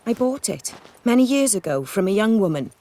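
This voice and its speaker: A woman in a video game playing a worldly, self-assured aristocratic lady who speaks in measured, elegant, formal sentences. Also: mid-range voice, sing-song, mellow, natural voice. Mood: poised.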